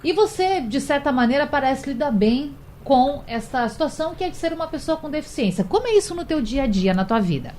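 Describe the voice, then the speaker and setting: A woman's voice: high voice, expressive, fairly quick, few pauses, darkish tone, a little hiss or hum. A woman hosting a radio talk show in a studio, welcoming guests and steering the debate in a conversational way. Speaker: radio host.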